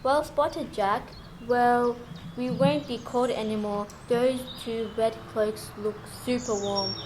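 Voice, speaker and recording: Eager storybook heroine, acted by a female performer, highish voice, school radio play, clear birdsong and ambient noise. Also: steady tone, takes it slowly. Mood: puzzled. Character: Fatima, eager curious girl lost in storybook. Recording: noisy, birdsong and ambience behind.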